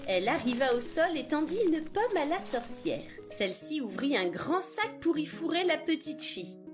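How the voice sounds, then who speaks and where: A woman narrating a scripted fairy tale for young children in an expressive, dramatic way. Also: high-pitched, moderate pace. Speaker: children's storyteller. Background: music behind the voice.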